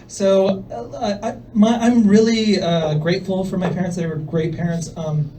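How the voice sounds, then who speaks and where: A young man from El Salvador does stand-up in a club, his soft, fairly high voice unhurried and full of ums. He delivers his lines in a hesitant deadpan, and he sounds a little distant from the mic. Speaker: stand-up comedian. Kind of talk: stand-up set. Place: comedy club. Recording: voice from far off the mic.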